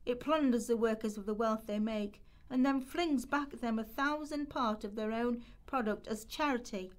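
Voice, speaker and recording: A woman's voice: a little high, moderate pace. A woman reading historical quotations from a script as part of a radio play rehearsal.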